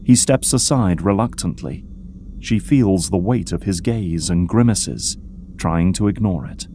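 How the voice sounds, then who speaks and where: A man narrating an audio drama from a script in measured storytelling prose. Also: deep voice, mellow, a little hiss or hum.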